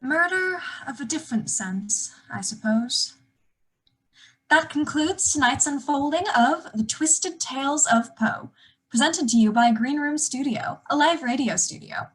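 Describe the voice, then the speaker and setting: A woman's voice: high-pitched, lively intonation, a little slow, rough recording. A woman playing the darkly wry host of a macabre radio show, reading scripted narration. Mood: wry, composed.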